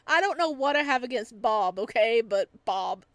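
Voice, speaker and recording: A woman's voice: on the high side, no room sound. A woman hosting a casual visual novel playthrough, chatting and reading aloud. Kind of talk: casual playthrough chat and read-aloud narration.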